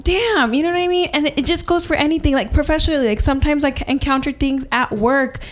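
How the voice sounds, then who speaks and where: Young woman host, casual panel talk, emphatic and fast to vent, a bit higher voice. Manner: emphatic, rising to exasperated quoting. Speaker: podcast host.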